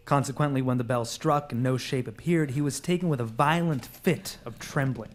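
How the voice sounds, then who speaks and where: A man's voice: mid-range voice, moderate pace, over music. A man telling a story as a scripted narrator in a live radio drama.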